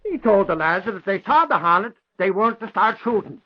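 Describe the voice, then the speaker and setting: Shrill, excitable newshound. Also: male voice, a little fast. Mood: indignant, stubborn. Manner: folksy, blustering exclamations. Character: excitable, stubborn, blustering male newspaper reporter. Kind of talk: old-time radio drama acting. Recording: dull vintage radio recording.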